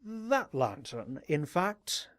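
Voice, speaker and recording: Crusty old unicorn academic, super pompous and snarky, really lively pitch, fantasy audio drama. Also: male voice, extremely slow, exaggerated voice. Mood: intent. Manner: pompous, sarcastic, theatrical. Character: grizzled gray old male unicorn scholar, haughty and indignant. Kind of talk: animated audio drama character voice.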